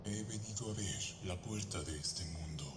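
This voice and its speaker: A man's voice: sits low, normal speed, natural voice. A man playing a mysterious, menacing villain in a fan-dubbed game, solemn and condescending. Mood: ominous. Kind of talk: fan-dubbed video game cutscene acting. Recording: echoing voice under loud music.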